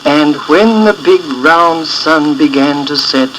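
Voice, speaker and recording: A man reading a story for children in an expressive storytelling style, as its author, on an old record. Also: on the high side, slow, background noise.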